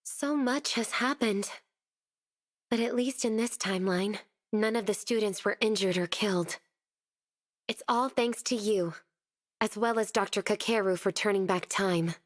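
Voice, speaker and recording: Caring game character, female actor: mid-pitched, gentle, reflective young woman. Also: lightly acted. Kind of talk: anime game dialogue.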